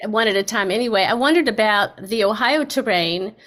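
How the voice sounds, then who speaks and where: A woman's voice: mid-range voice, a little fast, a bit bright. A woman moderating an informal discussion in a conversational, accommodating way.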